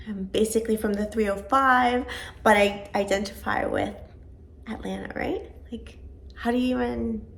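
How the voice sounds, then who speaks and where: A woman's voice: a little high, vocal fry, fairly bright tone. A woman about to turn forty, born in Venezuela and raised in Georgia, chatting casually to camera for a home vlog in a rambling, self-deprecating way. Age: 30s. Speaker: vlogger.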